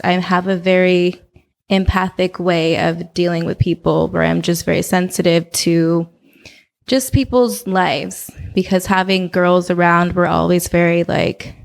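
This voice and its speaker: Young woman from Oakland, low gravelly voice, relaxed chatty interview guest. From Oakland, California. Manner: relaxed, chatty, with frequent fillers. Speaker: podcast guest. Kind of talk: casual interview chat.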